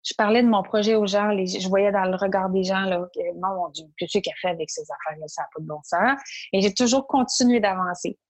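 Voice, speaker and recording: A woman from Quebec with a Québécois French accent, an entrepreneur telling her story in an interview, lively and animated, often quoting her own inner voice. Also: medium pitch, brisk. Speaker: entrepreneur guest. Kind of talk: interview conversation.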